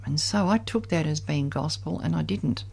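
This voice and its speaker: Older lady around 70, low voice, talking candidly about her own life. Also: moderate pace, gravelly, darkish tone, husky. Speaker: guest sharing personal story. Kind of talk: personal recollection in group conversation.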